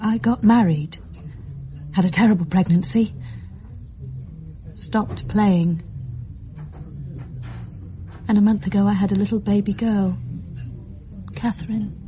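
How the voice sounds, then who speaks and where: An actress playing a fragile but determined young mother in distress, speaking haltingly and emotionally in a radio drama. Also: mid-range voice, fairly even intonation, dark tone, a little hiss or hum, lightly acted. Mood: sad halting. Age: young adult. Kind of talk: naturalistic radio drama acting.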